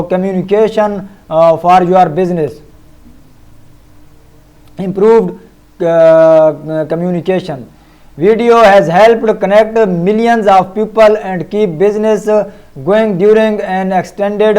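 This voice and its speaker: A man reading a scripted tutorial aloud in a halting way, stumbling over words and hesitating often. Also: sits high, a little mellow, clean tone, poor audio. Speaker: tech tutorial presenter. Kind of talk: scripted narration read aloud.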